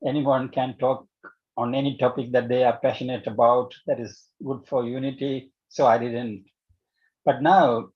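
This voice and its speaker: A man giving feedback in an online meeting, halting and wordy as he circles back over his phrases. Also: mid-range voice, darkish tone. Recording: dull online meeting audio.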